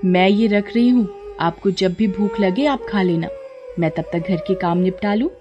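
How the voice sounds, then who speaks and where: A woman's voice: mid-range voice, normal speed, dark tone, muffled recording, lightly acted. Woman playing an innocent, devout village girl, music behind. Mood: dutiful. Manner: earnest, pleading, simple-hearted. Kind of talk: Hindi devotional story acting.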